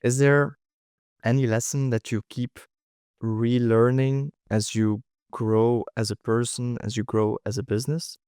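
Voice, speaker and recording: A man talks as an interviewer in a casual, personal style. His voice is smooth and medium in pitch, with some hesitant phrasing. The recording is dry and close. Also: fairly even intonation, measured pace, a little mellow, no echo. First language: Dutch. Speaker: podcast host, interviewer.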